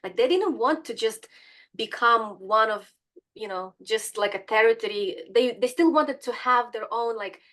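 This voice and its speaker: Woman guest, animated. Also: fairly high pitch, moderate pace. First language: Ukrainian. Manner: animated, searching for words. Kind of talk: casual interview talk.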